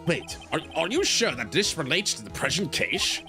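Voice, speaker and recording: A man's voice: on the high side, very animated, moderate pace, sharp tone, faint music behind, full character voice. A man voicing a fussy, flustered older male judge in a video game, calling for order.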